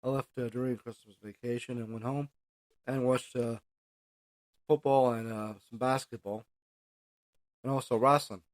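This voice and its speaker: A middle-aged man with an American accent hosts a casual solo podcast, rambling along in a halting way. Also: mid-range voice, steady tone, no echo. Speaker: podcast host.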